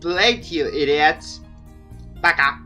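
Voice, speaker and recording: A teasing, mock-haughty teenage girl from a visual novel, acted out by a man. The voice is pushed higher and exclaims in a bossy way, with soft music underneath. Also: slow, heavily acted.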